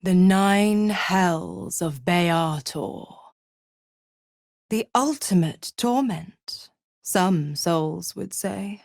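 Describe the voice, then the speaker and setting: A woman playing a seductive, scheming devil in a video game, theatrical and condescending, with a silky, mocking delivery that slips toward breathy whispers. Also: on the low side, drawn-out words, darkish tone, dry recording, clean studio sound, heavily acted. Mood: seductive, sly. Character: seductive, scheming female devil who trades in pacts. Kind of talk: video game character acting.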